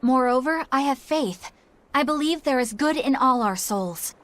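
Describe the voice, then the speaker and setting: A woman's voice: high-pitched, expressive, moderate pace, fairly bright tone. A woman voicing an earnest, righteous young female exorcist in a video game, formal and sincere. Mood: solemn, sincere.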